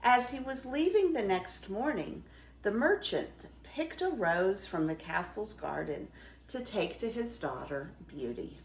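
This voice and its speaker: An older woman reading a fairy tale aloud as a grandmother would, with theatrical, expressive emphasis. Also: mid-range voice, unhurried, echoey room, a little hiss or hum, poor audio. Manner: theatrical, dramatic storytelling with emphasis.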